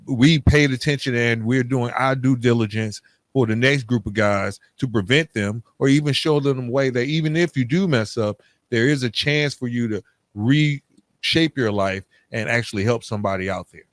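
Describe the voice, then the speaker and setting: A man's voice: mid-range voice, moderate pace, dry recording. A man hosting a podcast interview in a casual, affirming, conversational way, adding reflective commentary. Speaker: podcast host.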